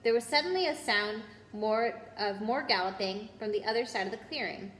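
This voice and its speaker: A woman storyteller reading a children's book aloud in an expressive narrating style. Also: mid-range voice, moderate pace. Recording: roomy echo with background noise.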